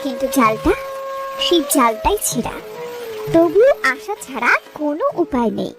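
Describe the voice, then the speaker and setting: A woman narrating a scripted story in a measured, poetic manner. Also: sits high, very animated, loud music behind the voice.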